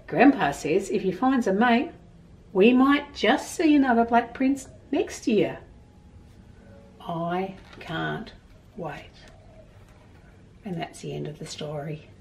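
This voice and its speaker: Storytime presenter: middle-aged Australian woman, unhurried and highly animated, expressive delivery for children, slightly distant room mic. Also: slight background noise. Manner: expressive, emphatic storytelling for young listeners. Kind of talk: picture-book reading and explaining to children. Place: small indoor room at home with bookshelves.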